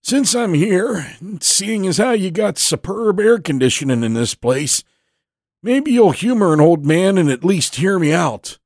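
A man voicing a genial, boastful Navy veteran in his eighties for an audio storybook, folksy and orating, with drawn-out emphatic phrases. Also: sits high, lively intonation, darkish tone, no room sound, clean studio sound, pitched above the performer's own voice, heavily acted. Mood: genial, pleading. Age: 80s.

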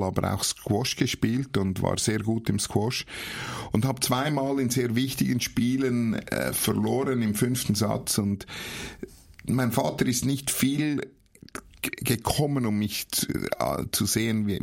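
Very gravelly, husky Swiss German man. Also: fairly low pitch, a little mellow. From Switzerland. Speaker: interview guest, theologian and author. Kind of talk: personal storytelling in an interview.

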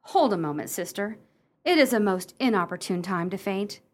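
A woman playing a brisk, skeptical, practical sister in a radio play, with a dry, bossy, genteel manner. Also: medium pitch, lively intonation, close to an everyday voice.

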